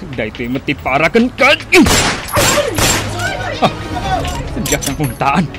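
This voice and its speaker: A man's voice: medium pitch, close to an everyday voice. A man acting in an Ilocano radio drama as a wary, defiant gunman, speaking dramatically as if to himself. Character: wary male gunman, defiant rival of a general.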